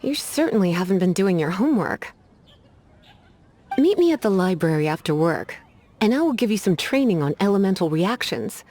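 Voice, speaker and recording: A woman's voice: mid-range voice, big pitch swings, moderate pace, darkish tone, natural voice. A woman voicing a flirtatious, teasing witch librarian in an anime game, with a coy, sultry manner. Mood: scolding, teasing.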